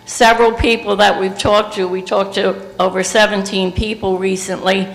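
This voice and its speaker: Older man, resident at public comment, high gravelly voice, halting delivery full of fillers, hand mic in a reverberant hall. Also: little pitch movement, a bit bright. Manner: halting, many fillers, reads off dates. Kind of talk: public comment at a board workshop. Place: meeting hall. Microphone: handheld microphone. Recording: echoey hall, long reverb.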